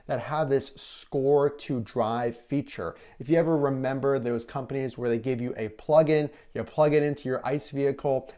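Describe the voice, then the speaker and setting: A man's voice: mid-range voice, darkish tone. A man hosting a recap video, explaining news to viewers in an emphatic way with rhetorical questions. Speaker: video host and presenter.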